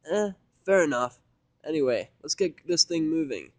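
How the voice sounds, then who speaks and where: Man doing a laid-back cool girl pony, pitched up. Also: lively intonation, normal speed, no room sound, close to an everyday voice. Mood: casual. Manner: casual, upbeat, slangy. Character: laid-back, friendly, slangy female DJ cartoon pony.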